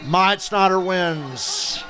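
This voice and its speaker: A man with a hoarse, worn voice reacting live to a race and calling the action bluntly, with the odd swear. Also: high voice, extremely slow, crowd noise. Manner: loud, blunt, swears casually. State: hoarse, losing his voice. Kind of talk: excited live play-by-play reaction.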